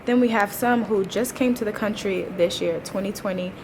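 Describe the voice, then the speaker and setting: A woman's voice: mid-range voice, moderate pace, background noise. A woman in her twenties, a teacher, chatting casually to a vlog audience in an informal, slangy way with wry asides. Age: 20s. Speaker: teacher and vlogger.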